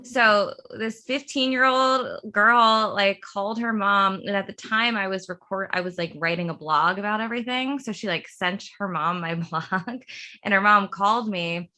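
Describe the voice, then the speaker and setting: A woman's voice: medium pitch, normal speed, sharp tone. A woman in her thirties from outside Philadelphia, a TV writer telling a meandering story about her start as a guest on an interview show, laughing at herself as she goes. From suburb of Philadelphia, USA. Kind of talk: casual interview chat.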